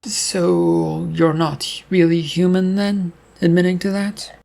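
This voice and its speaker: A man's voice: sits high, little pitch movement, slow, gravelly, a little mellow, pitched above the performer's own voice, exaggerated voice. A man voicing a skeptical, probing female detective partner.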